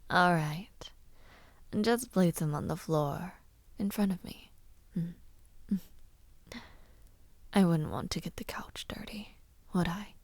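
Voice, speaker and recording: A woman playing a haughty, mocking young villainess princess in an audio roleplay, condescending and teasing, often dropping to a breathy murmur. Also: fairly low pitch, a little mellow, no room sound. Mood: cool, commanding. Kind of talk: audio roleplay acting, addressing the listener.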